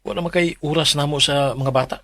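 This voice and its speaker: Actor as highish-voiced nagging Cebuano husband, dry sound. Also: male voice, fairly even intonation, a little fast, fairly bright tone, no room sound, close to an everyday voice. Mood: nagging, concerned. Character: concerned, nagging husband and father. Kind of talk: Philippine radio drama acting.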